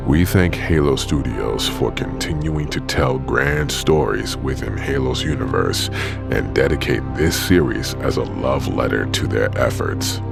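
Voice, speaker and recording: A man with a gravelly voice reading a scripted series introduction as an announcer. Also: a bass voice. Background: loud music.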